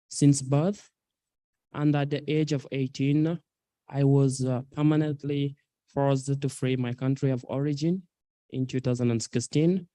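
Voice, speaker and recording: A man in his twenties from South Sudan, an activist speaking earnestly at a public talk, with halting delivery and many fillers. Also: medium pitch, mellow, no echo. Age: 20s. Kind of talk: personal testimony at a public talk.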